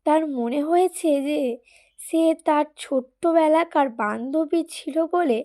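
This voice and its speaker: A woman's voice: high voice, a little slow, dark tone. A woman reading scripted narration for a cartoon story, over background music.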